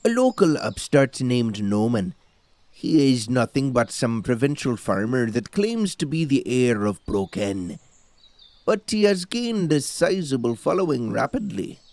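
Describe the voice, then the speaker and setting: A man's voice: medium pitch, big pitch swings, a little slow, a little mellow, dry recording. A man playing a formal, courteous but condescending gatekeeper in a fantasy audio drama, with mannered speech.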